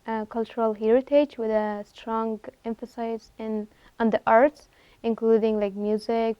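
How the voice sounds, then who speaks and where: Young woman, halting interview answers. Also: on the high side, clean tone, dry recording, highs cut off. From Afghanistan. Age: 20s. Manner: hesitant, many fillers and restarts. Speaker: guest, student artist.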